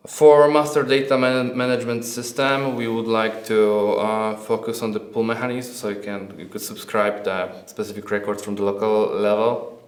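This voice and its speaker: A man giving a software product presentation as a non-native English speaker, hesitant and halting, often repeating words. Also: fairly low pitch, little pitch movement. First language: not English. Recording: echoey, roomy sound.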